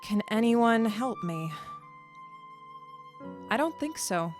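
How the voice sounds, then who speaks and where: A woman playing a stranded alien creature in an audio drama, stoic and reflective, with a deliberate, solemn way of telling things. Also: a little high, expressive, soft background music. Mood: grieving, resigned.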